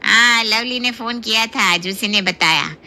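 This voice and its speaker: A woman's voice: on the high side, measured pace, bright, forceful tone. A woman playing a bossy, gossipy aunt in a cartoon story, brusque and insistent. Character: bossy, gossipy older female relative, brisk aunt. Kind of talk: Hindi cartoon story voice acting.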